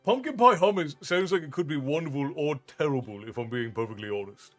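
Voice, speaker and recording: A man's voice: a little high, animated, brisk, soft background music. A man in his thirties from Ireland with an Irish accent mixed with American, chatting casually with viewers as a streamer and thanking them often.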